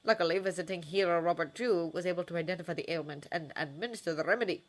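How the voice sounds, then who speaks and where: An elderly wizard healer, formal and measured with hesitant pauses, read by a woman. A storybook character. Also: on the low side, natural voice.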